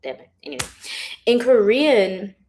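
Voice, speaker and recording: Chatty young woman, voice going hoarse. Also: sing-song, unhurried, slight background noise. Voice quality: speaking lower than her usual bright voice. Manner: chatty, rambling, giggly, uses filler words a lot. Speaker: author hosting a livestream. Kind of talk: casual livestream chat. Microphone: phone or webcam in a livestream.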